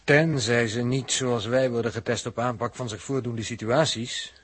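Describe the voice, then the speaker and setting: A man with a Netherlands Dutch accent playing a sober, sceptical captive spaceman in a 1960s radio drama, with a dry, measured delivery. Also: medium pitch, steady tone, a bit bright, husky. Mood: dry, doubtful. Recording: vintage 1960s radio recording.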